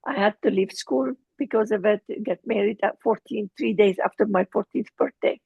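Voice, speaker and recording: A middle-aged woman with a Lebanese accent, telling her personal story as an interview guest, halting as she searches for words. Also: mid-range voice, creaky, breathy edge, no echo, phone-quality sound.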